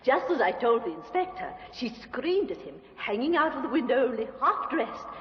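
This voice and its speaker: An actress playing an eager, prim neighbor testifying in court in a 1950s radio drama, with an indignant manner. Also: female voice, on the high side, big pitch swings, normal speed, slight background noise. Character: eager, prim middle-aged neighbor on the witness stand. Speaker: witness. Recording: dull echoey old radio recording.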